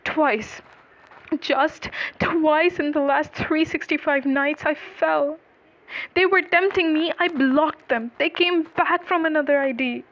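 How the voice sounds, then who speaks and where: A woman narrator playing an anguished male defendant in a dark story, pleading and exasperated as he justifies himself. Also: sits high, slow, lo-fi sound, pitched above the performer's own voice, exaggerated voice. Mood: desperate pleading.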